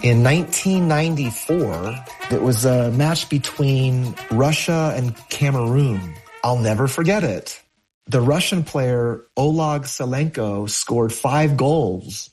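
A man's voice: mid-range voice, measured pace, a little mellow, husky, soft background music. A middle-aged American man, a poet, talking reflectively as a guest in an interview. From United States, California.

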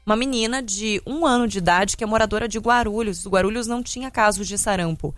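A woman's voice: medium pitch, fast talker, dry recording. A Brazilian woman presenting live radio news and traffic updates in a conversational, explanatory way. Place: radio studio.

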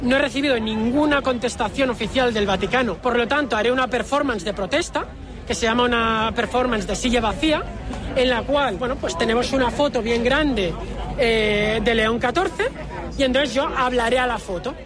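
A man's voice: very high voice, moderate pace. Man speaking over crowd and music. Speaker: protester interviewee. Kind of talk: spontaneous explanation to reporters.

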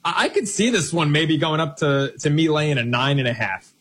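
Husky, slightly high-voiced male betting host. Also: lively intonation, fairly bright tone. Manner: brisk, bantering, numbers-heavy. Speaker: sports betting radio host. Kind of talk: live sports betting radio hosting.

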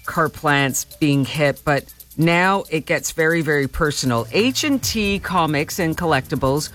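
A woman's voice: sits low, animated, a little slow, fairly bright tone, soft background music. A woman introducing a local news story as a radio reporter.

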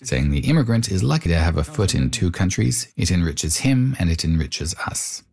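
A man narrating a radio report in a measured, explanatory way and asking interview questions. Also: deep voice, very animated, gravelly, darkish tone.

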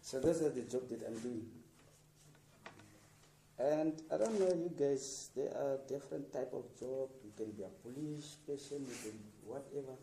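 A radio producer from Namibia talking informally to students, encouraging them with plain, repetitive sentences and restarts. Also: male voice, medium pitch, normal speed, dark tone, roomy sound, distant mic, slight background noise, rough recording.

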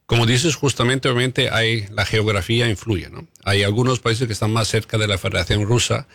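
Man, very low voice, dry close sound, middle-aged, Italian native speaker, diplomatic, hesitant fillers. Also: steady tone, a bit bright, no room sound. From Italy. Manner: measured, many hesitations and tag questions. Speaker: EU ambassador, guest. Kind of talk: diplomatic interview answers.

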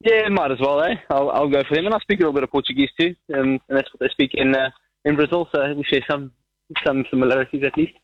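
A man's voice: medium pitch, fast talker, dry recording. South African man calling in, muffled line. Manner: rambling, many restarts and fillers. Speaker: caller. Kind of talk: casual phone-in chat. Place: outdoors walking a dog.

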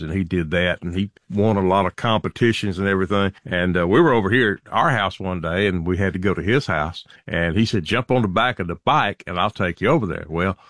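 A man hosts a relaxed conversation and spins folksy, easygoing stories. His voice sits a little low, and the recording is close and dry, with no room sound. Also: moderate pace, few pauses, no echo. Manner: easygoing storyteller, folksy humor. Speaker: host. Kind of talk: casual chat.

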